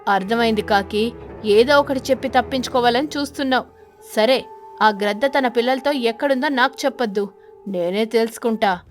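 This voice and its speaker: A woman voicing a vengeful female ghost sparrow in an animated children's tale, threatening and accusing as she interrogates. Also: on the high side, a little fast, soft background music, close to an everyday voice. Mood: menacing. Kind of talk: animated children's story character voice.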